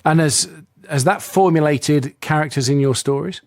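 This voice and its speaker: A man's voice: fairly high pitch, moderate pace, dry recording, clean studio sound. A man hosting an interview on the radio, chatty and asking questions with plenty of tag phrases. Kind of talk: interview host.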